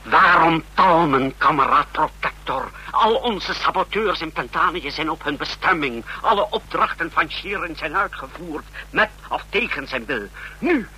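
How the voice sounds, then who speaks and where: A sharp, forceful totalitarian henchman. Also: on the high side, animated, measured pace, hardly any pauses, husky, exaggerated voice. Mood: urgent, confident. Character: deferential, scheming male henchman of a totalitarian leader. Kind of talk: mid-century Dutch radio drama acting. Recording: noisy old radio drama recording.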